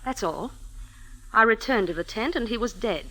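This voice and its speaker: An actress playing a defensive, scheming wife in an old radio mystery, with dramatic, clipped delivery. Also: female voice, on the high side, lively intonation, normal speed, fairly bright tone, low-quality recording. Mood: defensive, cool. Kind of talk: 1940s-50s radio acting.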